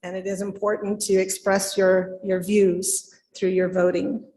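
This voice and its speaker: A woman's voice: medium pitch, flat delivery, unhurried, mellow, poor audio. Woman leading church service, faint background noise. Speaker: worship leader.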